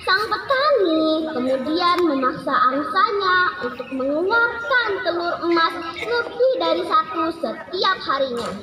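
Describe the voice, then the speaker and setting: A woman's voice: very high voice, very animated, unhurried, hardly any pauses. A woman reading a scripted children's fable aloud as a storyteller. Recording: echoey, noisy room with background crowd.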